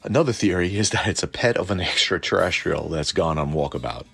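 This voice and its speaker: A man hosting a lore deep dive in a colloquial, irreverent way, part scripted commentary and part casual aside. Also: animated, a little fast, gravelly, fairly bright tone, breathy edge. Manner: colloquial, irreverent, mildly profane. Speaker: host of a cryptid and tabletop lore channel.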